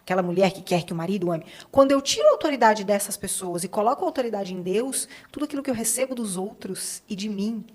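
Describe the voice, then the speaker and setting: A woman's voice: mid-range voice, lively intonation, a little mellow. A middle-aged Brazilian woman hosting a podcast, speaking conversationally in a didactic, emphatic way. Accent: Brazilian Portuguese. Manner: didactic, emphatic, deliberate listing. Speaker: podcast host. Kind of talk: conversational interview with explanations.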